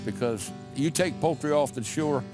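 A man's voice: fairly high pitch, moderate pace. Man, farmer interview, plain-spoken, folksy, emphatic, music and clucking behind. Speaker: poultry farmer.

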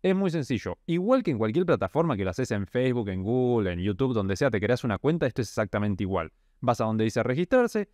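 Young Argentine man with a Rioplatense accent, direct and lively finance host; dry close-miked room. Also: medium pitch, fairly quick, few pauses, no echo. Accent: Rioplatense Spanish. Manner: direct, walks viewer step by step. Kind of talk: casual tutorial explainer. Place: small indoor room.

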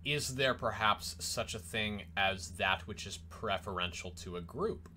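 Low-voiced man asking hesitant questions. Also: unhurried, slight background noise. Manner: attentive listener, steady "mm-hmm" backchannel, hesitant questions. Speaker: podcast co-host. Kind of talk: casual philosophical discussion.

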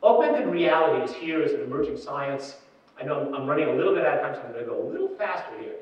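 A middle-aged man giving a conference keynote from a stage, emphatic and quick, often repeating his key phrases. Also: fairly high pitch, expressive. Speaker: keynote speaker. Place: dark conference ballroom on stage. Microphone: room PA picked up by a far camera. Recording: very echoey hall, distant, dull sound.

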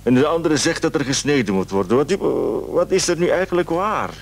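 Anxious, stammering husband in noisy radio play. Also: male voice, on the high side, big pitch swings, a little fast. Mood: distressed. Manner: stammering, hesitant. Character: anxious, ailing husband, fretful and helpless. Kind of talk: radio drama acting.

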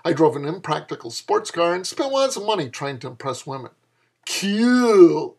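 A man's voice: fairly high pitch, sing-song, brisk, gravelly, slightly rough. A man in his fifties giving a dramatic reading of his own dialogue-driven fiction in a wry, exasperated manner. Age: 50s. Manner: wry, exasperated delivery of an older mentor's lines.

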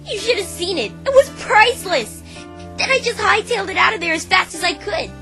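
Here is a woman acting as a brash young female cartoon pony, a pegasus with a high voice. She talks in a casual, cocky way and gets defensive, with music playing behind her. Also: expressive, a little fast, bright, forceful tone, heavily acted. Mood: boastful. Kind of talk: fan dub voice acting of a visual novel.

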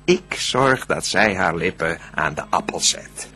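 An actor playing a boastful, vengeful demon tempter in a radio play, with drawn-out, theatrical verse delivery. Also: male voice, low-pitched, expressive, pushed and bright, faint music behind, full character voice. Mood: plotting. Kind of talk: radio drama verse acting.

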